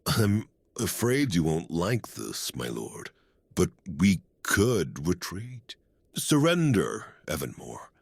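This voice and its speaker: A man playing a grave, cautious army general with a husky voice in a fantasy audio drama. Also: sits low, huge pitch swings, slow, heavily acted. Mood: wary. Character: grave, wary male army general advising his lord.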